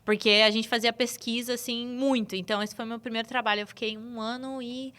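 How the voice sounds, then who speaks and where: A woman's voice: on the high side, fairly even intonation, a little fast, fairly bright tone, clear, resonant tone. A woman, a sports journalist guest chatting loosely in an interview with many filler tags. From São Paulo state, Brazil. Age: 20s. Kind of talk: casual interview chat.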